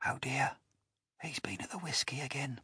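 A man's voice: medium pitch, big pitch swings, fast talker, no echo, clean studio sound, voice pushed up. A man voicing a nervous ship's second officer who whispers. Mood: anxious. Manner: whispering, anxious.

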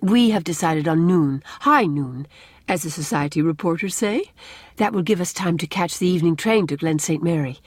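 A woman voicing a cheerful, romantic young bride-to-be in an audiobook, expressive and lyrical with a teasing touch. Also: fairly low pitch, moderate pace, slightly rough, no room sound. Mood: bright. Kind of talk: audiobook character dialogue.

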